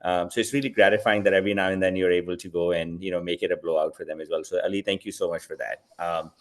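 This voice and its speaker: A man from Pakistan in his thirties talks as a guest at a fireside chat. His voice sits on the low side, and his delivery is relaxed and reflective, full of fillers as he thinks aloud. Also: fast. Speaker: guest, company founder.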